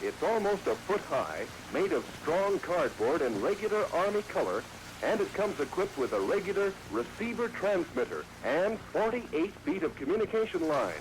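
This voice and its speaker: Man, 1940s radio pitchman, bouncy lively delivery, hissy old broadcast. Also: normal speed. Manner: peppy, salesman-like. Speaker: radio ad announcer.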